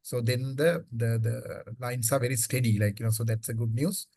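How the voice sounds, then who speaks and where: A man's voice: mid-range voice, steady tone, normal speed, darkish tone, no echo, studio quality. A man speaking as a company executive, answering questions off the cuff in a conversational way, often saying "like, you know.". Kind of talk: unscripted business earnings call.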